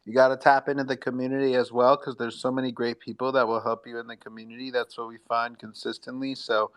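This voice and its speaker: A man's voice: medium pitch, flat delivery, fast. A man hosting a live online audio show in an upbeat, promotional style full of hype and encouragement. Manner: enthusiastic, hype-filled encouragement. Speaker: host of an NFT marketing audio show.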